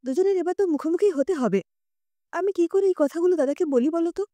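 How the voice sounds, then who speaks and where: A woman voicing an anxious, soft-hearted young woman in an audio story, earnest and pleading. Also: extremely high pitch, a little fast, dry recording.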